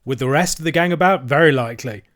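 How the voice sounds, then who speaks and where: A man acts out a stubborn country servant from a storybook. He is wary and blunt, drops his aitches and talks in folksy rural grammar, pitched a little above a plain narrating voice. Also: quick pace, a bit bright, lightly acted. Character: wary stubborn manservant with rustic country speech.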